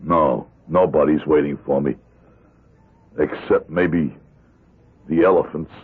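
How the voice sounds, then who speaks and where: An actor playing a bitter, hopeless fifty-year-old convict in a radio drama, with terse, deadened delivery. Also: male voice, very deep voice, slow pace, husky, full character voice. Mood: sullen. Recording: muffled, tinny old radio broadcast.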